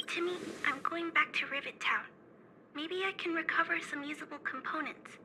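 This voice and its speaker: Game role: earnest, capable little girl, high and very bright, faint noise behind. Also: normal speed. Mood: earnest, worried.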